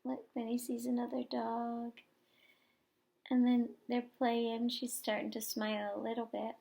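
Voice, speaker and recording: A woman teacher reading a picture book aloud expressively to young children, pausing for explanations and questions. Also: on the high side, little pitch movement, vocal fry, dark tone. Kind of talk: expressive children's story read-aloud with asides to listeners.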